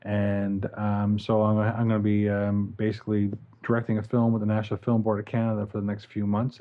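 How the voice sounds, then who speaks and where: A man's voice: lowish voice, narrow, tinny audio. A man with a Newfoundland accent, talking casually on a podcast and explaining a project with many ums. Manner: relaxed storytelling with fillers. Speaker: podcast co-host.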